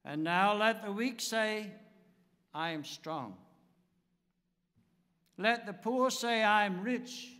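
An elderly male pastor delivers a sermon at a pulpit microphone. His voice sits a little high for a man, and he speaks slowly in solemn, measured phrases. The sound carries the long reverb of a large church sanctuary. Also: lively intonation, pushed and bright. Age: 70s. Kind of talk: sermon and prayer. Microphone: pulpit gooseneck microphone.

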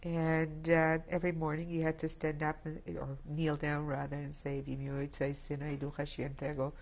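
Woman, sixties, Polish-born, native Polish speaker, raspy low voice, halting testimony, lapel mic, indoor studio. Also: completely flat delivery, darkish tone. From Poland. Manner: reflective, halting, with many restarts. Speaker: interviewee. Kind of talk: oral history testimony. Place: indoor studio set with plants.

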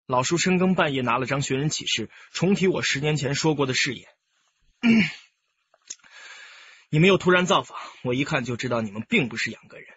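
A man's voice: medium pitch, fairly bright tone, highs cut off, slight background noise, close to an everyday voice. An actor playing a composed, candid young male music teacher, speaking politely and carefully in a radio drama. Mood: calm, candid. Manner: polite, measured, explanatory.

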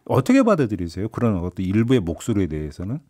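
A man's voice: lowish voice, huge pitch swings, quick pace, mellow. A middle-aged man hosting a current affairs radio interview, probing and restating answers to confirm them. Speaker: radio show host. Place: radio studio. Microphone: studio condenser microphone up close.

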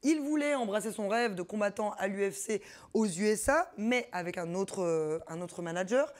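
A woman in her forties hosting a studio sports show, presenting segments in a lively way. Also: medium pitch, moderate pace. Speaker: show host.

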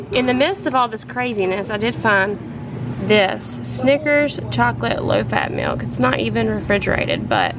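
A woman, chatting excitedly to viewers in a casual haul vlog. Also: a little high. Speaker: vlogger. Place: home kitchen and store aisles.

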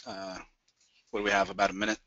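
A man's voice: sits low, flat delivery, fast, sharp tone, dry recording, recorded from a distance, muffled recording. A man joining an online trading room as a guest and chatting casually. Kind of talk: casual chat.

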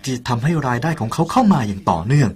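A man's voice: medium pitch, sing-song, very fast. A man narrating a parable in an earnest, persuasive way and speaking directly to the listener. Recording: soft music behind the voice.

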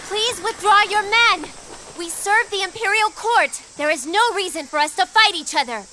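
An actress playing a gentle, earnest young noblewoman with a hidden scheme in a dramatic video game. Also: very high-pitched, moderate pace, slight background noise. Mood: urgent pleading. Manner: soft, earnest, pleading.

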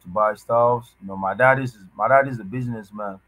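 A young man born in Ghana, chatting casually as a podcast guest over a video call from a small room, halting and full of restarts. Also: on the low side, a bit bright, rough recording. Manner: halting, many restarts and fillers, loose slangy talk. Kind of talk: casual conversation. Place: indoors, small room at home.

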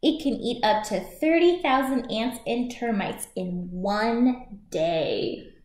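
A woman in her thirties reading a picture book aloud for young children as a storytime host, expressive and clearly paced. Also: a little high, takes it slowly. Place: small room at home, indoors by a shuttered window. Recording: echoey home room.

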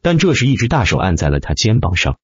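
A man's voice: on the low side, huge pitch swings, quick pace, a bit bright, slightly rough, no room sound, muffled recording. A man reading a fantasy novel as dramatic scripted narration. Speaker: audiobook narrator.

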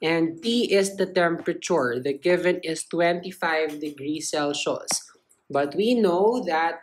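A woman with a low voice gives a step-by-step tutorial. She speaks slowly and explains things in simple phrases, as a tutor does. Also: low-quality recording. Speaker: chemistry tutor.

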